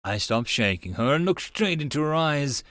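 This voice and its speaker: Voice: mid-range voice, animated, moderate pace, a bit bright, no room sound. An audiobook narrator giving a theatrical, emphatic first-person reading of an anime-style story. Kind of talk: dramatic first-person reading of a visual novel.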